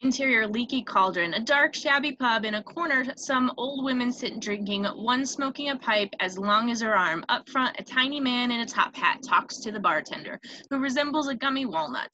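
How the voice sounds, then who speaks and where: A woman's voice: a little high, normal speed, few pauses, sharp tone. A woman reading scripted screenplay stage directions as narrator, on a dull, noisy home recording.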